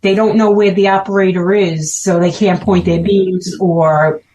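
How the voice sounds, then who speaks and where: A woman's voice: lowish voice, fairly even intonation, a little slow, warm tone, a little hiss or hum, rough recording. A woman with a Brooklyn accent, chatting as a guest and often laughing as she explains things. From Brooklyn, New York. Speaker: guest, special event organizer. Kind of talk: casual chat.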